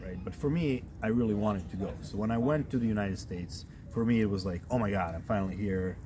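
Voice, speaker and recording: A man in his thirties from Croatia, answering interview questions in a relaxed way and often saying "you know" and "right?". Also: fairly low pitch, brisk, noisy background. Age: 30s.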